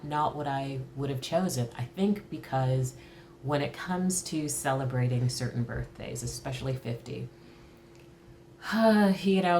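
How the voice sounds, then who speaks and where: Very low-voiced woman in her fifties. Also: darkish tone, a little hiss or hum. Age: early 50s. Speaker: interview participant sharing personal reflections. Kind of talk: reflective personal talk to camera.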